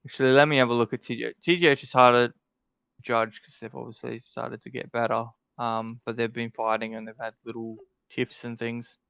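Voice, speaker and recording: Man with a smooth, gravelly voice. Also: mid-range voice, little pitch movement, a little fast, a bit bright, no room sound. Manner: thinking aloud, hesitant, lots of fillers and restarts. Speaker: podcast co-host ranking game alliances. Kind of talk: casual podcast chat.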